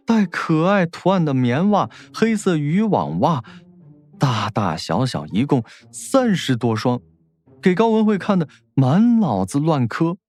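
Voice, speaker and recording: Animated, higher-pitched male audiobook narrator. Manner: expressive storytelling.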